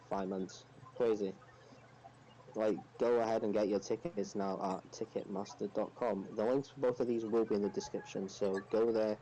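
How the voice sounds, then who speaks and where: A man with a lowish, soft-toned voice on a dull lo-fi mic with faint noise. He co-hosts a podcast, moving between casual chat and informal sponsor reads. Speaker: podcast co-host.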